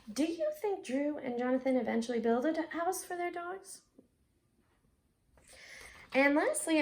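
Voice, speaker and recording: An American woman with a high-pitched voice hosts a read-aloud for children. She reads in an expressive storybook style and slips into chatty asides, speaking directly to her young audience.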